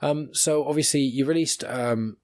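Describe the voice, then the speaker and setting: A man's voice: mid-range voice, normal speed, a little mellow, no echo. A man hosting a podcast, chatting casually in an enthusiastic, rambling way. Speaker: podcast host. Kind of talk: casual interview chat.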